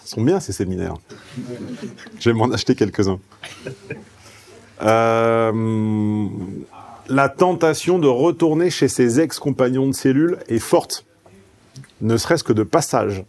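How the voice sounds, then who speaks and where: A man's voice: mid-range voice, unhurried, pauses often, darkish tone. A man who grew up in southeastern France giving a paid seminar, frank and salty, telling personal anecdotes. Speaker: seminar speaker. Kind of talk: seminar talk.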